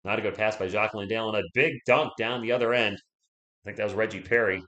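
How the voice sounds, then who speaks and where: Hoarse fan calling a game, echoey. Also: male voice, lowish voice, quick pace, sharp tone. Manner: chatty, reads viewer comments aloud, rooting for the Spurs. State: losing his voice. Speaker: live stream play-by-play host. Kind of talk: casual fan play-by-play commentary.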